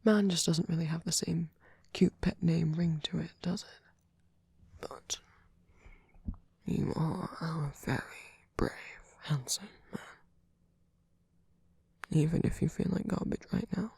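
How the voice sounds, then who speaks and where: A man playing a sweet, gentle vampire boyfriend in an ASMR roleplay, speaking softly, breathily and tenderly with some teasing. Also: fairly high pitch, slow pace, creaky, pauses often, husky, no echo, exaggerated voice. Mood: tender, reassuring.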